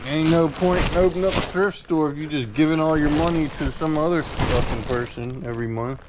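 A man's voice: a little high, fairly quick, noisy background. A man with a drawl giving blunt, laid-back commentary while sorting a storage unit. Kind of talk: casual running commentary. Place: inside a cluttered metal storage unit, outdoors nearby. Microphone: head-mounted action camera. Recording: loud crinkling bags and clatter.

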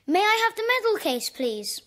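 A young British boy in a children's audio drama. He is earnest, eager and well-mannered, with a high, lively voice. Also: moderate pace, heavily acted. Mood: polite, earnest. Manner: polite, eager.

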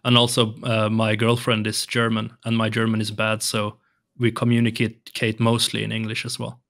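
A man's voice: on the low side, monotone, normal speed, gravelly, fairly bright tone. Young Swedish man, hesitant speech. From Sweden. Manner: hesitant, many restarts and ums. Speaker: podcast guest. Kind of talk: casual interview chat. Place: home room with shelves and colored lights. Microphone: large studio mic on arm, headphones.

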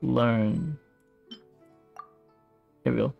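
A man's voice: medium pitch, extremely slow, vocal fry, narrow, tinny audio. A man giving casual playthrough commentary as a game streamer, muttering and thinking aloud. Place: indoors, streaming over game audio. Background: faint game music.

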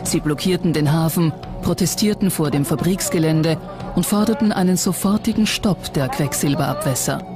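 A documentary narrator reading a script in a measured, serious manner. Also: medium pitch. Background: music under the voice.

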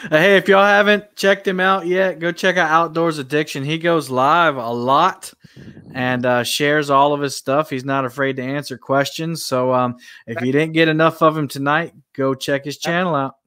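A friendly man hosts a live video chat about fishing, laughing easily between remarks. His voice is a bit high for a man. He speaks from a room into a webcam. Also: fairly even intonation, moderate pace, bright, forceful tone, smooth. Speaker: live stream host. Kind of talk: casual chat. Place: room indoors.

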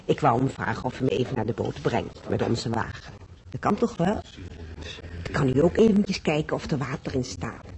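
An actor in a radio play playing a patient's brisk, practical spouse who waves away fuss. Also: male voice, medium pitch, darkish tone, a little hiss or hum, natural voice. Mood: exasperated.

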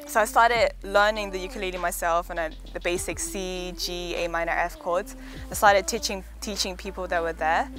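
Young woman, relaxed interviewee, slight American lilt, lowish voice, faint music under her. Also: normal speed. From Hong Kong. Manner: chatty, reflective, laughs easily. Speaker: interviewee, singer-songwriter. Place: outdoors in a back garden.

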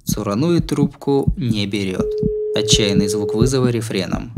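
A man's voice: lowish voice, a little slow. Man narrating an audiobook, faint noise. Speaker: audiobook reader. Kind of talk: dramatised narration of a Japanese novel.

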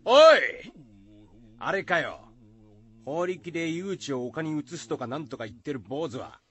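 An actor playing a bold village man in a radio drama, with rough, blunt, folksy speech. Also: on the high side, unhurried, a bit bright. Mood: skeptical.

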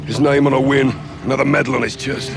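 An actor playing a blunt, stubborn British special forces captain in a military video game. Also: male voice, medium pitch, fairly even intonation, fast, vocal fry, natural voice. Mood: insistent. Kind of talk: video game dramatic acting. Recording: loud music and vehicle noise behind.

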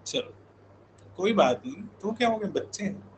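A man's voice: high voice, lively intonation, moderate pace, slight background noise, poor audio. A man in his thirties from India talking informally as an online coaching teacher, chatting with his live class and often checking in. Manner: informal, conversational, repeats check-in tags. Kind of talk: casual live class chat.

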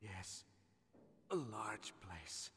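An actor playing a dying, misanthropic psychic villain in a video game, with weary, halting, strained and breathy delivery. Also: male voice, lowish voice, animated, sharp tone, exaggerated voice. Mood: weary, pleading. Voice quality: breathy, strained, creaky whisper. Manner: slow, halting, theatrical delivery. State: dying, weakened. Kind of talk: video game cutscene acting. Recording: long reverb, hissy noise.

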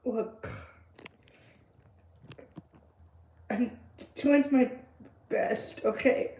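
A woman's voice: a little high, takes it slowly, dark tone, a little hiss or hum. A woman playing a weary, apologetic and defensive mother in an amateur audio roleplay. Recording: roomy, echoing home recording.

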